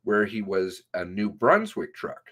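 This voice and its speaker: A man reading an interview transcript aloud in a halting way, sometimes breaking off with asides of his own. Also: lowish voice, big pitch swings, a bit bright. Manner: halting, often breaking off to comment on the text.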